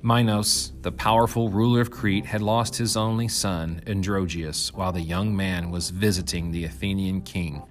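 A man's voice: deep voice, fairly even intonation, normal speed, few pauses. A man reading an ancient myth aloud as scripted storytelling narration.

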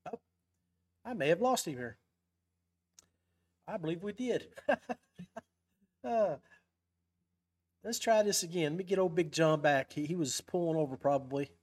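Podcast host, male, higher-pitched voice, loose and informal live-stream chat. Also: a little slow, long pauses, darkish tone. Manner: informal, calls guest buddy and brother.

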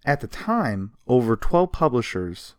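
A man's voice: medium pitch, sing-song, unhurried, dry recording, clean studio sound. A man co-hosting a podcast, telling history in a casual, emphatic way with a sarcastic streak and some swearing. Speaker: podcast co-host. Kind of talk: casual storytelling about comics history.

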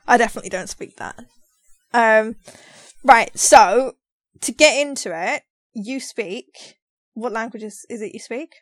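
A woman's voice: fairly high pitch, unhurried, no room sound. A woman hosting a podcast in casual conversation, chatty, teasing and full of questions. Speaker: podcast host.

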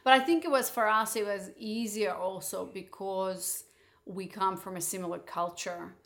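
A woman's voice: mid-range voice, normal speed, fairly bright tone. Frank, opinionated woman from Moldova. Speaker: podcast host. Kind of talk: casual couple's chat.

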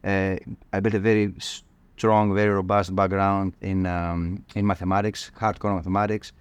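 A middle-aged man with a Greek accent speaks as an interview guest. His voice is low and clean, recorded dry. He answers reflectively, often pausing and repeating words as he thinks. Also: little pitch movement, clean tone, no echo. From northern Greece. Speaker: podcast guest, cardiologist.

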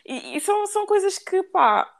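A woman's voice: very high-pitched, sing-song, moderate pace, gravelly, a little hiss or hum. A woman guest from Portugal with a European Portuguese accent, talking casually with slang and bits of English. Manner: slangy, mixes in English words. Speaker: podcast guest. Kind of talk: casual conversation.

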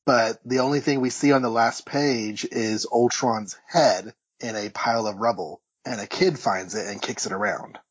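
Man, podcast co-host, husky, casual recapping, enthusiastic asides, dull recording. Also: medium pitch, normal speed, hardly any pauses. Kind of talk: casual comic-book discussion, recapping. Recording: dull, lo-fi audio, slightly roomy.

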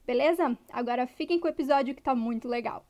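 A woman's voice: sits high, quick pace. A woman with a Brazilian accent co-hosting a podcast in a casual, conversational style. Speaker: podcast co-host.

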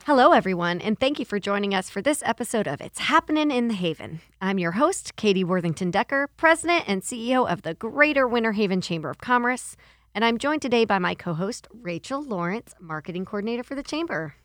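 A woman's voice: medium pitch, expressive, brisk, dry recording, studio quality. A woman hosting a chamber of commerce podcast, upbeat and polished, reading sponsor copy and interviewing a guest. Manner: upbeat, polished, with friendly affirmations. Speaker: podcast host.

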